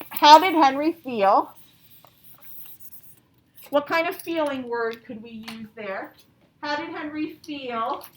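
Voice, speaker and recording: Female primary teacher, high register, instructional repetitive phrasing, reverberant classroom sound with faint noise. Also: bright, forceful tone. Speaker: elementary school teacher. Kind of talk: classroom lesson with read-aloud and questions to children. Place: indoor classroom. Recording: echoey classroom, distant mic, background noise.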